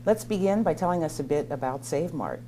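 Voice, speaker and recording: A middle-aged woman hosts the interview in a very low, dark voice, polished and to the point. Her questions are brief and neatly phrased. A faint hum sits under the studio sound. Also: expressive, brisk. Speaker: interview host. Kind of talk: scripted business interview hosting.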